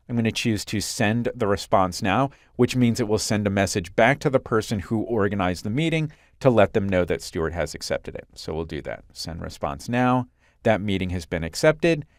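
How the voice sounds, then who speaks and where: A man working as an instructor, narrating a software screencast in a clear, step-by-step explanatory way. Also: medium pitch, no room sound, studio quality.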